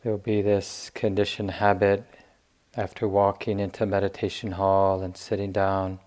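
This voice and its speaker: A man teaching meditation, giving gentle guided instruction in short phrases with long pauses. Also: low-pitched, completely flat delivery.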